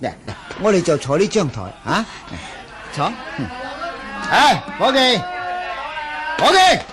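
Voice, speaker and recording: Swaggering bandit lecher, Hong Kong Cantonese radio drama; high voice, wildly swinging delivery, taunting and menacing. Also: male voice, big pitch swings, a little slow. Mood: leering menace. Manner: boastful, taunting. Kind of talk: 1980s martial-arts radio drama acting.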